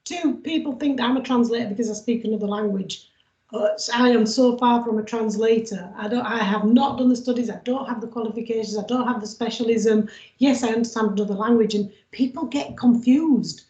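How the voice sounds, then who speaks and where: A chatty woman hosting a casual video call with a slightly high voice. She talks in loose, looping sentences full of restarts. Her audio comes over a dull, lo-fi line from an echoey room. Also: fairly quick. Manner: chatty, warm-hearted fillers and restarts. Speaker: host.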